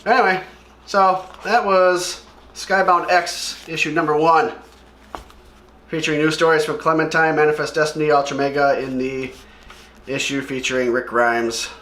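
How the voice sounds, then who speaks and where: A man's voice: a little high, flat delivery, moderate pace, pushed and bright, a little hiss or hum. A man hosting a comic read-along with casual, offhand and opinionated commentary as he describes what he sees. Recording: echoey room.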